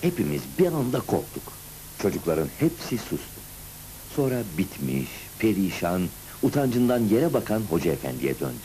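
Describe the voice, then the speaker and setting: A man narrating a cartoon story in the first person with expressive, dramatic storytelling. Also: fairly low pitch, normal speed, a little hiss or hum, low-quality recording. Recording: music behind, old cartoon soundtrack. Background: music.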